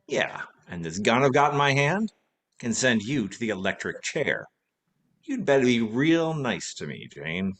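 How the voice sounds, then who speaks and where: A man's voice: mid-range voice, very animated, a little slow, a bit bright. A man playing a wry, wisecracking, tipsy former detective in a vintage-style radio play. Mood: cool, scheming. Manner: wisecracking, teasing.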